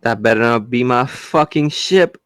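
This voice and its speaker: A man's voice: medium pitch, moderate pace, clear, resonant tone, dry recording, clean studio sound. A man chatting casually as a player in a tabletop role-playing game.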